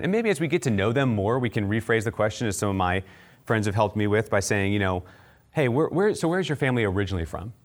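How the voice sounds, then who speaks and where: Man, lower-pitched voice, from outside Baltimore, conversational teaching, lots of fillers and quick asides. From United States, near Baltimore. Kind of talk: teaching talk on faith and culture.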